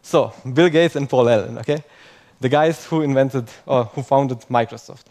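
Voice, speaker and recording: A man's voice: medium pitch, normal speed, darkish tone. A young man giving a conference talk in English, which is not his first language, chatty and self-mocking, often ending points with "okay?". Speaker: conference speaker. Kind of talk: conference talk to a live audience.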